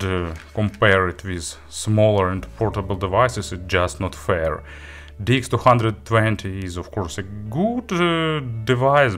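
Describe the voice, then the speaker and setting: Male tech reviewer, lowish voice, non-native English speaker, halting unscripted delivery, music underneath. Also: a bit bright. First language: not English. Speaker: audio gear reviewer. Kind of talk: unscripted product review talk.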